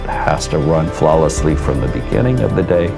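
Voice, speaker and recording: A middle-aged American man gives interview soundbites as a hotel director in a promotional film. His voice is notably deep, with music clearly audible behind him. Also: lively intonation, moderate pace. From Seattle, United States. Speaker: cruise ship hotel director. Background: background music.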